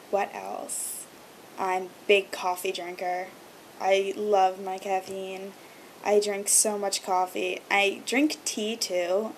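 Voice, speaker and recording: A young woman whose first language is Hebrew talks to her webcam in a gushing, rambling way, full of fillers. Her voice sits in the middle range. A faint low rumble sits under the recording. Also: poor audio. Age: young adult. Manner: chatty, gushing, lots of fillers. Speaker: vlogger. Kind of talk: casual chat to camera.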